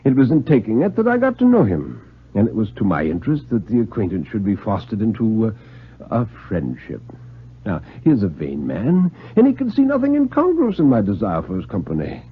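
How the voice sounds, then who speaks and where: A man voicing a genial, scheming old retired British police knight, theatrical and deliberate, in a radio drama. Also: lowish voice, huge pitch swings, slightly rough, exaggerated voice. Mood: scheming, confident. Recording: muffled 1950s radio recording.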